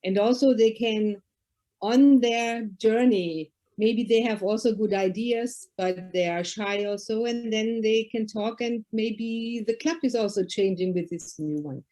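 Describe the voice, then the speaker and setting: A woman's voice: a little high, measured pace, dark tone. A woman from Germany with a German accent, a Lions past district governor, sharing her thoughts on a video call in careful, slightly non-native English.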